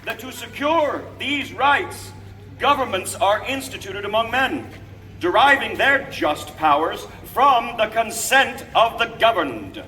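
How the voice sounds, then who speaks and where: Guy acting as a founding father orator, bright sharp voice, grand formal declaiming outside, noisy distant recording. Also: sits high, expressive, heavily acted. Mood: rousing, proud. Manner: declamatory, deliberate phrasing, formal. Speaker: historical reenactor. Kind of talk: theatrical public proclamation. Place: outdoors, speaking from a balcony to a gathering. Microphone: distant camera microphone. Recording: distant outdoor recording with background noise.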